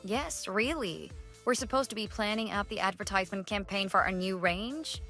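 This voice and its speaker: A woman's voice: mid-range voice, normal speed, sharp tone. An actress playing a curt professional woman fending off a pestering coworker in a radio drama, cool, dismissive and businesslike. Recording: voice over background music.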